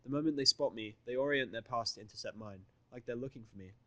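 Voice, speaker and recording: A man's voice: medium pitch, fast talker, a bit bright, no echo. A man reading first-person, present-tense fantasy fan fiction as the narrator.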